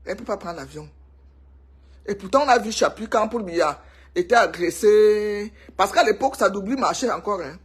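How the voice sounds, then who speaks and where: Woman, Cameroonian French accent, husky, emphatic, repetitive, casual gossip monologue. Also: mid-range voice, normal speed. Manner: emphatic, excitable, repeats herself for effect. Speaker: vlogger.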